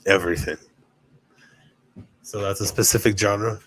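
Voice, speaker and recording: A man's voice: lowish voice, steady tone, unhurried, fairly bright tone, low-quality recording. A man in his twenties hosting a podcast, asking questions in a casual, friendly, slangy way. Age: 20s. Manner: casual, friendly slang, says bro a lot. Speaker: podcast host. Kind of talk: casual interview.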